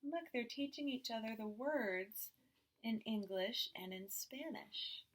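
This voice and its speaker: A woman teacher reading a picture book aloud to young children in expressive, simple phrases. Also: a little high, takes it slowly.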